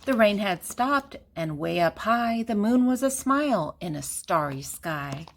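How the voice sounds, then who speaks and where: A woman's voice: medium pitch, expressive, unhurried. An older woman reading a children's picture book aloud, speaking simply and directly to young listeners. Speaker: children's storybook reader.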